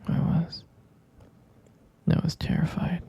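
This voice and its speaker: A man playing a sleepy, tender young boyfriend in an ASMR roleplay, murmuring soft, hesitant reassurance in a breathy, husky whisper. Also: slow pace, lo-fi sound, lightly acted.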